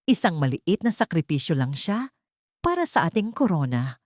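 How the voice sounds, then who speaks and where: A woman voicing a greedy, unrepentant grandmother in an audiobook drama, bitter, defensive and forceful. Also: on the low side, sing-song, moderate pace, dry recording, clean studio sound. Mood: bitter, shameless. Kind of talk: Tagalog audiobook drama dialogue.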